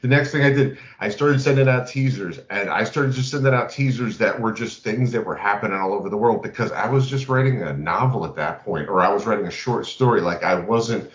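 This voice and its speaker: Low-voiced man in a roomy space. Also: fairly quick, hardly any pauses. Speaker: podcast host. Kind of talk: casual tabletop gaming chat. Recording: roomy, slightly dull lo-fi audio.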